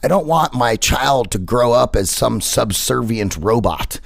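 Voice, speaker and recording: Big-voiced gravelly host. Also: male voice, mid-range voice, expressive, measured pace, dry recording, studio quality. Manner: rambling, repeats words and fillers. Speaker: radio show host. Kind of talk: casual interview chat. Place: radio studio.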